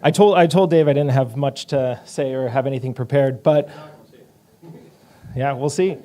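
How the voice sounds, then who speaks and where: A man's voice: mid-range voice, normal speed, mellow. A man giving an unprepared, informal candidate speech in a modest, casual way.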